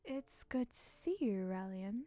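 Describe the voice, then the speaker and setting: A woman voicing a stern, commanding woman of the royal household in a visual novel, formal and measured. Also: mid-range voice, expressive, warm tone, dry recording, lightly acted. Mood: measured, reassuring.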